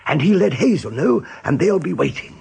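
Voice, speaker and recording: A burly rabbit posing as a dull recruit in a radio play. The voice is rough and gravelly and swings widely in pitch, with hesitant, rustic phrasing. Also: male voice, sing-song, normal speed, dark tone, exaggerated voice. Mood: resolute. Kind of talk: radio drama acting.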